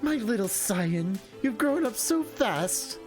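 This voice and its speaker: A teasing, theatrical anime man, as a streamer acts him out, playful and far too familiar. The voice lifts high and slides around freely, with music behind this visual novel reading. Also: sing-song, slow. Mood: teasing, affectionate.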